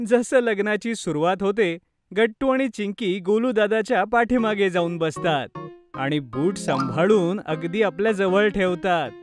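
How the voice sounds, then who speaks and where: Woman narrating children's story over music. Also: mid-range voice. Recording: music under the voice.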